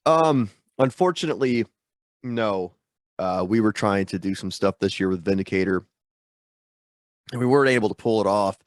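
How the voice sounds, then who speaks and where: A man with a fairly low voice talks loosely over a video call, his nose stuffed up from a sinus infection. He rambles and pokes fun at himself, with plenty of fillers. The sound is dry and close. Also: moderate pace, long pauses, no echo. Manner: rambling, self-deprecating, lots of fillers. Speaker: guest musician. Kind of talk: casual interview chat.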